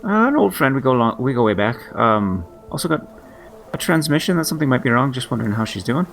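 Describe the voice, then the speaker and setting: A man speaking as his character in a tabletop game, halting and casual. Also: mid-range voice, sing-song, gravelly, fairly bright tone. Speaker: player.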